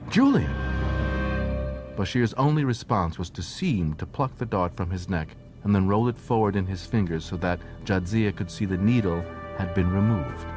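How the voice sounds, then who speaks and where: A man reading a scripted story as a dramatic audiobook narrator. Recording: noisy track with low rumble.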